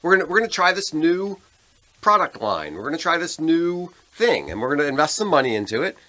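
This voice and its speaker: A man's voice: a little high, sing-song, a little fast, pushed and bright. An American man co-hosting a podcast, talking casually about politics in an opinionated, conversational way. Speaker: podcast co-host.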